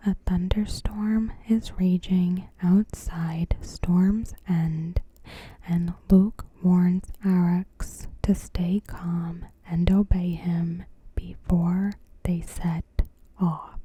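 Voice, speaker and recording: A woman softly narrating a scripted recap in ASMR style, in a breathy whisper with careful phrasing. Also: lowish voice. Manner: soft-spoken, unhurried, careful phrasing. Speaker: ASMR creator.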